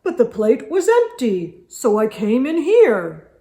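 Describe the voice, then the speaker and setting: A woman voicing a gigantic, kindly old Santa Claus, measured and reassuring, in a children's storybook. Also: fairly high pitch, very animated, mellow, reverberant. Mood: puzzled.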